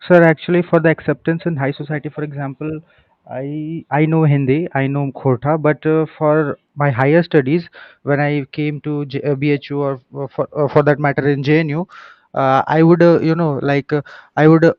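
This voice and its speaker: A male student giving a halting answer with many fillers. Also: a little high, flat delivery, no echo, dull audio.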